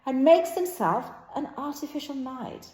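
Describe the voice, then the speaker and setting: In an echoey room, a woman reads a stage play aloud as an amateur. Her delivery is unhurried and a little halting, as she takes on every part herself. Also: sits high, expressive, mellow. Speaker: amateur reader playing all the parts. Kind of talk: impromptu dramatic read-aloud of a stage play.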